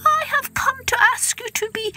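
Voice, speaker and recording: A woman voicing a tiny, brave and courteous mouse king in a squeaky, formal manner for a children's story. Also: moderate pace, pushed and bright, soft background music, heavily acted. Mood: proud pleading. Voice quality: squeaky, small. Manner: formal, pleading.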